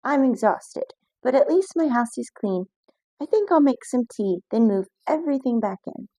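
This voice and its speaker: A woman voicing tiny excitable Sadie. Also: normal speed, dry recording. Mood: chatty. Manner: squeaky, excitable, exclaiming. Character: tiny fussy house-proud mouse-sized girl Sadie.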